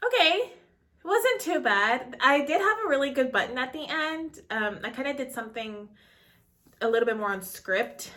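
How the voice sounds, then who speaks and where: A woman's voice: high voice, sing-song, normal speed, sharp tone. A woman in her late twenties, an actor, chatting nervously and rambling with many fillers in a casual reaction vlog.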